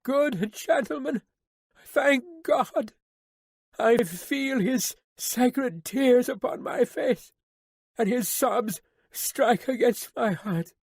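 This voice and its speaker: A woman's voice: fairly high pitch, expressive, drawn-out words, a little mellow, slightly rough, no echo, voice pushed up, heavily acted. A woman voicing a young woman, a tender daughter, who pleads earnestly and repeats her refrains. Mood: pleading. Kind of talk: audiobook character reading.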